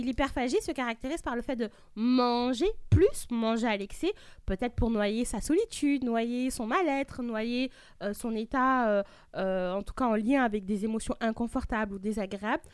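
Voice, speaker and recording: A woman's voice: a little high, clear, resonant tone, no echo, clean studio sound. A woman hosting a group talk, explaining terms step by step with everyday examples. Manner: didactic, walks through definitions with examples. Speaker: host. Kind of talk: explaining to a panel.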